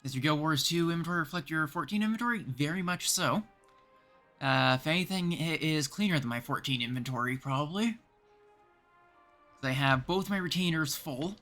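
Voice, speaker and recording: A man's voice: fairly high pitch, expressive, normal speed, pushed and bright, soft background music. A man with a Canadian accent, chatting casually as a livestream host and thinking aloud while he plays.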